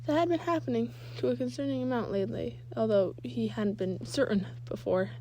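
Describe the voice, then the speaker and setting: A woman's voice: on the high side, fairly quick, mellow, a little hiss or hum, rough recording. A woman narrating fan fiction as an amateur reader, now and then stumbling and rereading phrases. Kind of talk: scripted narration, reading a story aloud.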